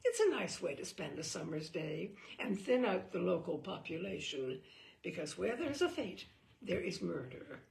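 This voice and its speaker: A woman reading a humorous book aloud in a theatrical, deadpan way, with dramatic emphasis and pauses. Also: fairly low pitch, vocal fry. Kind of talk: theatrical read-aloud of dry comic prose.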